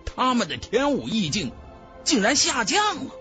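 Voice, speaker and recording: A man's voice: very high voice, wildly swooping pitch, a little slow, bright, forceful tone. A man reading a fantasy novel aloud as dramatic scripted narration. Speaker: audiobook narrator. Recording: music under the voice.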